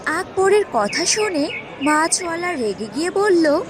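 A woman narrating a scripted cartoon fairy tale in an expressive storytelling style. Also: very high voice, measured pace, a crowd in the background.

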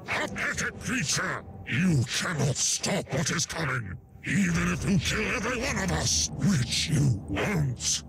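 A man playing a gloating, fanatical villain warrior in a video game, menacing, taunting and gravelly. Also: on the high side, sing-song, unhurried, pauses often, exaggerated voice. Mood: scornful, defiant.

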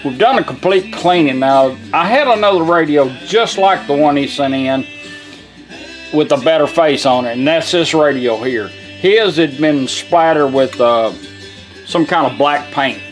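Folksy man, slightly high-voiced. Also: unhurried, faint music behind, low-quality recording. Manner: folksy, plain-spoken. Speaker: presenter, car radio restorer. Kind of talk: informal product demonstration. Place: indoor workshop bench.

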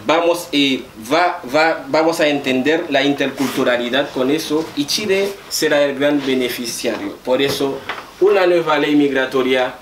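A man's voice: fairly high pitch, normal speed. A middle-aged man speaking emphatically on a panel, repeating phrases for stress as he makes his case to an audience. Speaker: panel speaker, migrant rights advocate. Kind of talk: impassioned panel talk to an audience. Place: small room with hard walls, indoors. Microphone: far camera microphone. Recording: distant mic, echoey room, faint noise.

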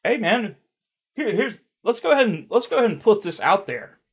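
Gravelly-voiced man rambling through comments. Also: high-pitched, sing-song, a little fast. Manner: rambling, swears, repeats himself, admits he stutters. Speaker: YouTuber reading fan comments. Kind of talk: casual reaction commentary. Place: dark room at home.

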